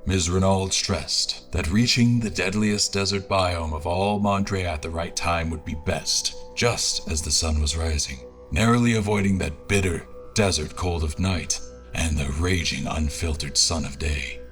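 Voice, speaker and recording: An actor playing an adventurer who narrates a perilous expedition in a formal, literary, first-person storytelling manner. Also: male voice, low voice, measured pace, a bit bright, faint music behind. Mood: measured, grave.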